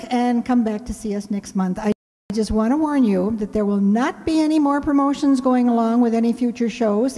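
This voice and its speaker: A woman's voice: on the high side, warm tone. A woman hosting a show, making chatty, folksy, joking announcements to an audience in a casual way. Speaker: radio play director and show host. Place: indoor hall, live stage event. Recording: reverberant hall.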